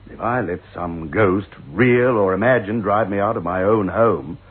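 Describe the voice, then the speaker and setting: An actor playing a stubborn, sceptical husband in a radio drama, brusque and exasperated. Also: male voice, fairly low pitch, normal speed, husky. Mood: defiant. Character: stubborn sceptical husband, a writer of ghost stories. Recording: lo-fi phone-like old radio, hissy.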